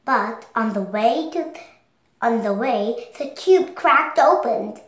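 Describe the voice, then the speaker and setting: A seven-year-old girl reading a storybook aloud in a careful, halting way. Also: fairly high pitch, animated, roomy sound, a little hiss or hum. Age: child, 7 years old. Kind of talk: read-along storybook narration.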